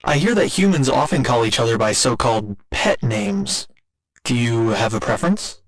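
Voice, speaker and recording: A man playing a gentle, earnest robot boyfriend in an ASMR roleplay, speaking in formal, measured, precise sentences. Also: medium pitch, animated. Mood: fond, polite. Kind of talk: ASMR roleplay, scripted intimate monologue.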